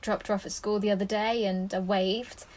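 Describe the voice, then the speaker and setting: A woman's voice: mid-range voice, little pitch movement, a little mellow, lightly acted. An actress playing an anxious, protective mother, confiding and reflective in a naturalistic audio play. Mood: protective, wounded. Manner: confiding, unhurried.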